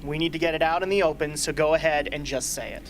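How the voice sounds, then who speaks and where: Radio play role: bossy, gruff male mentor, man acting, middle-aged, faint noise behind. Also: on the high side, fairly even intonation, fast, natural voice, poor audio. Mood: blunt, challenging. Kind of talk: amateur radio drama acting. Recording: hobby recording with ambient noise.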